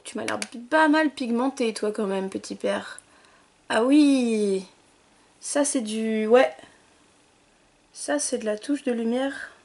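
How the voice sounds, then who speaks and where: Woman, beauty vlogger, bubbly tutorial chat, fairly high voice, soft trailing asides.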